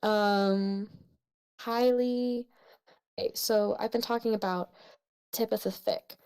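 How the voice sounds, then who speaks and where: Creaky-voiced young woman, casual and blunt. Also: mid-range voice, fairly even intonation, takes it slowly, a little mellow. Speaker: co-host critiquing fan fiction. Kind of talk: casual chat, commentary.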